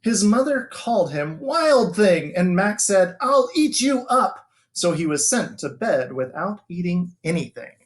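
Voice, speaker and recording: An American man, a schoolteacher, speaking to children as he shares a bedtime storybook in an expressive way. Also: sits high, a little slow, few pauses. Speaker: elementary school teacher reading a bedtime story. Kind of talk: children's storybook read-aloud.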